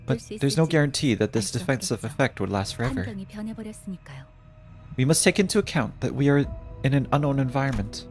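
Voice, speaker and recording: A man reading aloud the lines of an analytical game character, quietly and close to his own voice. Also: medium pitch, expressive, fairly quick, pauses often, music in the background, natural voice. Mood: measured.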